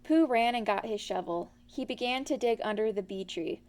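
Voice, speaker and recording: Woman storyteller with a lightly high voice. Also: moderate pace, a little mellow. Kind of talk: expressive children's story read-aloud.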